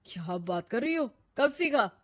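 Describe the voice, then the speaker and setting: A woman voicing a mild, agreeable elderly father-in-law who gently teases in a cartoon story. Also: a little high, very animated, moderate pace, no echo. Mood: teasing. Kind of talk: cartoon moral story acting.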